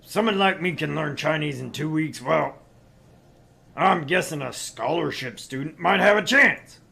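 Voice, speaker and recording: A man's voice: fairly high pitch, unhurried, gravelly, pushed and bright, heavily acted. A man voicing a brash, boastful older businessman in a visual novel, with a blustery, sardonic and condescending way of talking. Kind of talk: visual novel read-aloud.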